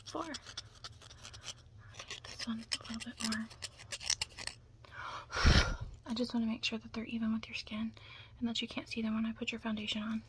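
A young woman doing an ASMR roleplay as a makeup stylist, speaking softly and breathily, often in a whisper, with reassuring asides. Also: a little high, level tone, normal speed, pauses often, low-quality recording. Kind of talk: ASMR roleplay, close personal attention. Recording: close-up with clear background noise.

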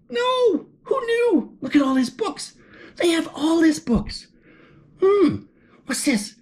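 A woman's voice: high-pitched, darkish tone. A woman reading a picture book aloud to children, gasping with surprise and asking the listener questions as she turns the pages. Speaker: children's story reader. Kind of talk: animated children's read-aloud.

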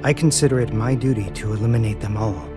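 An actor playing a solemn, polite young man in an animated game, speaking in a measured, formal and understated way. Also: fairly low pitch, over music, natural voice. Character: soft-spoken, solemn, polite young man, a feared scientist. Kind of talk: dubbed animated game cutscene acting.

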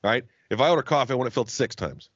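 A man's voice: mid-range voice, very fast, no echo, muffled recording. A man in his thirties co-hosting a podcast in casual chat, swearing freely and laughing often, over a video call from home. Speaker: podcast co-host. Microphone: studio mic on arm, headphones.

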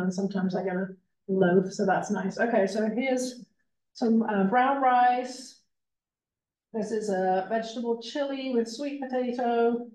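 A woman's voice: medium pitch, darkish tone. A woman presenting a casual slideshow talk in a library, chatty and full of fillers like um and you know. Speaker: library talk presenter on plant-based meal prep. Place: library meeting room. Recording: echoey room from far off.

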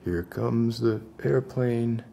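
Unstable male survivor acted by a man, ASMR roleplay, dark gravelly tone, halting soft coaxing, rambling, noisy room tone. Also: medium pitch. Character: unstable male post-apocalyptic survivor, gently coddling his captive.

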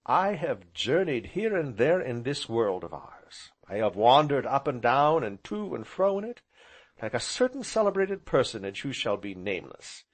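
A courtly, dreamy gentleman from a romantic novel, as a male narrator plays him. He talks in a placid, gravely courteous way at a medium pitch, with highly varied melody. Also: big pitch swings, moderate pace. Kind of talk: audiobook character voice.

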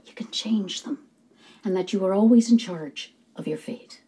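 A woman's voice: mid-range voice, dark tone, breathy edge, natural voice. An older actress playing a gushing, theatrical palm reader in a film, who draws her words out dramatically. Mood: reassuring, mysterious. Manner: dramatic, calls people darling, drawn-out delivery. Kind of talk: film acting.

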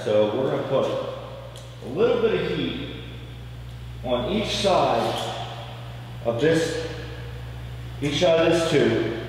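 A man's voice: mid-range voice, slight background noise. A man in his thirties giving plain-spoken, practical welding instruction in a large metal shop, recorded on a camera mic some distance away. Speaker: welder demonstrating fabrication. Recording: echoey shop with long reverb.

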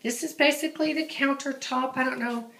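A woman's voice: high voice, steady tone, a little fast, creaky, a bit bright. Chatty woman touring her laundry room. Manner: chatty, many ums and restarts. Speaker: home organizing vlogger. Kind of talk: casual home tour narration. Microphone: handheld camera, off screen. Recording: echoey small tiled room.

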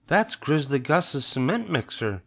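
A young girl bear cub from a storybook, acted out by a male narrator, pitched well up high. The cub is friendly and casual, a touch hesitant, with lively swings in tone. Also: measured pace. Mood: casual, agreeable.

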